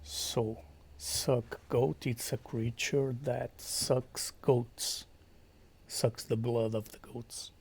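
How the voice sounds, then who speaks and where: A man from Portugal with a Portuguese accent, chatting quietly on a stream, hesitant, with many fillers and slips into whispers. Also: mid-range voice, little pitch movement, extremely slow, gravelly, husky. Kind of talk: quiet casual chat with viewers.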